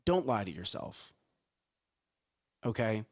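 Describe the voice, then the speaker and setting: A man's voice: on the low side, sing-song, slow pace, breathy edge. A man co-hosting a live morning talk show, speaking in a strong, carrying voice. He talks energetically, repeats words and keeps checking in with "right?" and "okay?".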